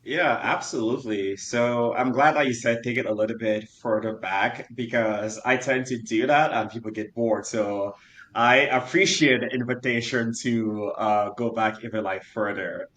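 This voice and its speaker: A man's voice: fairly even intonation, moderate pace, a bit bright. A young man from Cameroon, a startup founder, telling his backstory in an interview in an earnest, detailed way with many fillers. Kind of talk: interview answers. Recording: roomy echo, faint rumble.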